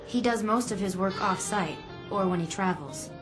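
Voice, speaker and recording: Actress as an anxious, earnest female informant, mid-pitched. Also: moderate pace, close to an everyday voice. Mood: hesitant, cooperative. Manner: hesitant, polite, sincere. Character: earnest, anxious young female office employee turned informant. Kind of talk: video game dramatic dialogue. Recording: music playing behind the voice.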